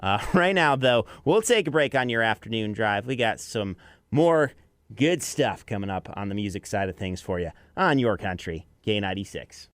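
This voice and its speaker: A man's voice: lowish voice, lively intonation, normal speed, a bit bright, no echo, studio quality. An American man presenting as an afternoon radio DJ, talking listeners through songs, breaks and countdowns in a conversational style.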